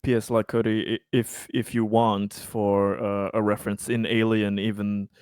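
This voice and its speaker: Male game player, casual table talk, clean resonant lowish voice. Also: flat delivery, normal speed, darkish tone, smooth, dry recording, studio quality.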